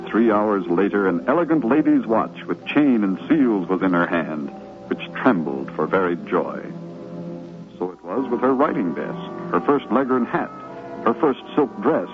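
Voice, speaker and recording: An actor playing a devoted grandson narrating fond memories in a reverent, dramatic manner for a 1950s radio drama. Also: male voice, fairly low pitch. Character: devoted grown grandchild fondly remembering grandfather. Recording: muffled 1950s radio recording, music behind. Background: music.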